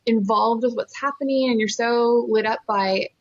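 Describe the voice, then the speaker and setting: A woman's voice: fairly high pitch, moderate pace, muffled recording. A woman, telling her story as an interview guest in an earnest, enthusiastic, emphatic way. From California, born in Costa Rica. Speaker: interview guest, nonprofit fundraiser.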